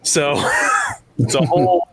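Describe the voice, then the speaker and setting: A man's voice: a little high, steady tone, takes it slowly, breathy edge, raised voice. A man from a tabletop podcast cast answering fan questions in casual chat. He rambles and thinks aloud, with many fillers, over a video call. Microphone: remote mic over a video call.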